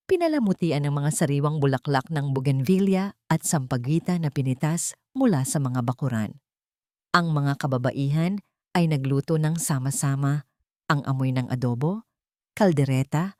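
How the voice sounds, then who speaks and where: A woman narrating a romantic audio drama in a steady, scripted storytelling voice. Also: low-pitched, no room sound, clean studio sound.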